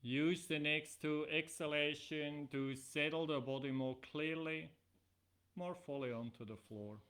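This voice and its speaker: A middle-aged man teaching yoga nidra, giving guided relaxation instructions in measured, deliberate phrases with pauses. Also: a little high, a bit bright.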